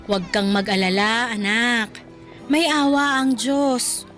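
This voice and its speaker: A woman's voice: fairly high pitch, steady tone, takes it slowly, fairly bright tone, faint music behind. A woman playing a loving, hardworking middle-aged mother in a Filipino radio drama, with an affectionate, motherly manner. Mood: affectionate, teasing. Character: loving, teasing, hardworking eatery-worker mother.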